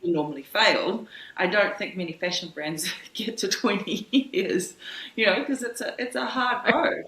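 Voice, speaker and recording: A woman's voice: mid-range voice, moderate pace, fairly bright tone, roomy sound, poor audio. A woman in her forties with a New Zealand accent, a guest talking casually and reflectively over a remote call, often restarting her thoughts. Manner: reflective, loose and searching, frequent restarts. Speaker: guest, brand founder. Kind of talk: casual conversational interview. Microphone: remote video call.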